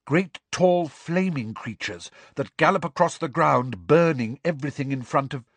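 A man's voice: fairly high pitch, animated, moderate pace, dry recording, pitched above the performer's own voice. A man voicing a dreamy, odd teenage girl who speaks solemnly and matter-of-factly.

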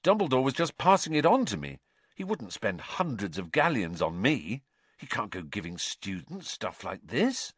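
A man's voice: on the low side, very animated, normal speed, slightly rough, no room sound. A man voicing an earnest, level-headed teenage schoolboy in an audiobook, reasoning things through modestly. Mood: stunned, reasoning.

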